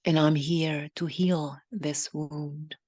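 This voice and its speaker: A woman leading a channeled guided meditation, speaking solemnly in halting, deliberate, repetitive phrases that often soften toward a breathy whisper. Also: sits low, steady tone, no echo.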